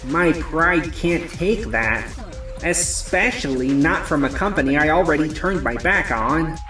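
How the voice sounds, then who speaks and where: A woman's voice: sits low, lively intonation, a little slow, a bit bright, faint music behind. A woman playing a proud, stubborn sales manager who gets defensive under pressure, in a manga dub. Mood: brooding, determined.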